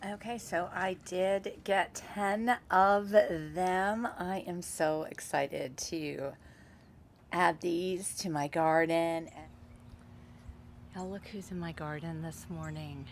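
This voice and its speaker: A woman showing her garden on her vlog, gushing and excited. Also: fairly low pitch, slow, slight background noise. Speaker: gardening channel host. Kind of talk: casual vlog narration.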